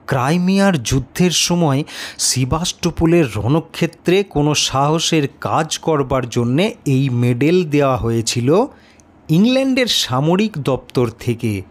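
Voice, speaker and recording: Slow, higher-pitched male storyteller. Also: expressive. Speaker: story narrator. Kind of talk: first-person scripted literary narration.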